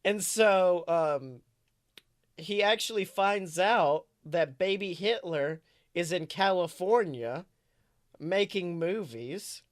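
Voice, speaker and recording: Male podcast co-host, high voice, dry recording, rambling playful improvised storytelling. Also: slow, dry recording. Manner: rambling, self-correcting, playfully dramatic. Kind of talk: improvised comic storytelling, casual chat.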